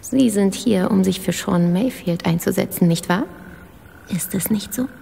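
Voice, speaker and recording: An actress playing a secretive, guilt-ridden informant in a radio play, with a breathy, half-whispered, gravelly voice and a halting, cryptic manner. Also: female voice, mid-range voice, warm tone. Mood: wary, secretive. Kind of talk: German radio play acting. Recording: reverberant hall, faint background noise.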